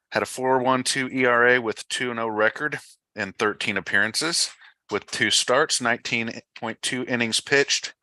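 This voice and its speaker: A man's voice: mid-range voice, bright, forceful tone, no room sound. A man giving live baseball play-by-play commentary in clipped, matter-of-fact phrases.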